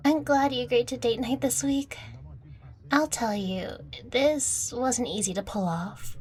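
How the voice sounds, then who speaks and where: A playful young catgirl girlfriend acted by a woman speaks in a fairly high voice at a very unhurried pace. She is affectionate and teasing, coaxing the listener tenderly in an intimate audio roleplay. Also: a little mellow, close to an everyday voice. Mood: teasing, secretive.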